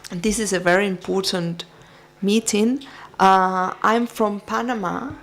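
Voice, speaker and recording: A woman from Peru speaking slowly and deliberately at a conference. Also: medium pitch, fairly even intonation. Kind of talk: conference speech.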